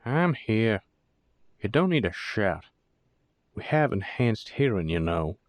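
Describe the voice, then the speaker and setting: A man's voice: fairly low pitch, big pitch swings, slow, no room sound. A man playing a sullen, sarcastic younger werewolf brother in an audio roleplay, snarky and grudging. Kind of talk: roleplay audio drama acting.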